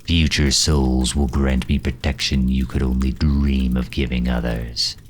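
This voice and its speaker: A man playing a grave, haughty dark knight who absorbs souls, speaking formally and deliberately with a deep, breathy, husky voice in an audio roleplay. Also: little pitch movement, slight background noise. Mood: cold, bitter. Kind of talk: slow dramatic audio roleplay monologue.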